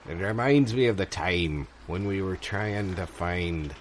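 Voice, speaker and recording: A man playing a grave, slow-witted seasoned pirate telling sea stories in ponderous sailor talk, in improvised audio comedy. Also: low-pitched, slight background noise. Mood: reminiscing.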